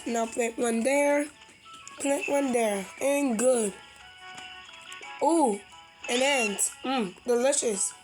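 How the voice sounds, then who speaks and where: A young-sounding amateur with a high, childlike voice improvising playful cartoon animal voices, with exclamations and sing-song filler. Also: low-quality recording. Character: cartoon animal characters, eager and easily alarmed. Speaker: fan dubber voicing every cartoon character.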